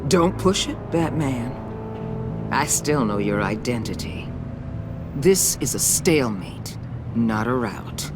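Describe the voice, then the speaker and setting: A woman's voice: a bass voice, big pitch swings, slow pace, music in the background, exaggerated voice. A woman playing a hard-nosed, ruthless agency boss in a video game, commanding and scornful. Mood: cold, defiant. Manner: commanding, cutting, sarcastic.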